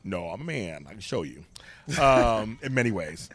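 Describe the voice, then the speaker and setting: An older man from Los Angeles with a deep voice, a guest talking candidly and emphatically, often repeating himself. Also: very animated, normal speed, a bit bright, faint music behind. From Los Angeles, USA. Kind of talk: candid conversation.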